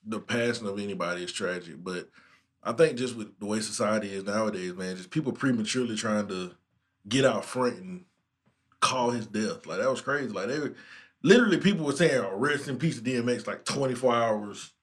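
A man's voice: on the low side, brisk. Laid-back man hosting a casual podcast. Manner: laid-back, drawling slang, frequent laughs. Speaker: podcast host. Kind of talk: casual chat.

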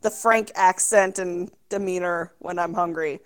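A woman's voice: lowish voice, steady tone, a little slow, clear, resonant tone. A woman, a dungeon master, chatting casually on a podcast, hesitant and self-deprecating.

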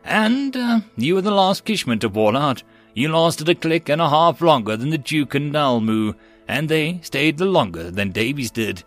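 Rich: A brash female Marine with boundless energy, teasing and grinning through every line, as a man puts her on in a storybook reading. The voice swings up lively and high above his own, with soft music far behind. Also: normal speed. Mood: cheerful.

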